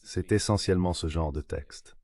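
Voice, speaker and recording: A man's synthetic voice reading translated political discussion as a measured voice-over. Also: low-pitched, a little mellow. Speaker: voice-over translator of interview guests. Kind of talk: dubbed political discussion, measured read delivery.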